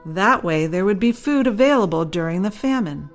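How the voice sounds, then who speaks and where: A woman's voice: mid-range voice, lively intonation, moderate pace, faint music behind. A woman reads her own children's story as scripted audiobook narration.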